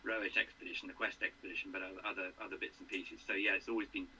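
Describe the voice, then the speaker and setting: A man in his sixties from England, a guest in a casual interview, who talks haltingly with many fillers and restarts. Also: deep voice, flat delivery, sharp tone, a little hiss or hum, low-quality recording.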